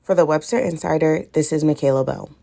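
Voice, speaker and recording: A woman's voice: deep voice, fast talker, creaky, warm tone, a little hiss or hum. A woman reporting, reading scripted narration for a news package. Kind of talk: news voice-over.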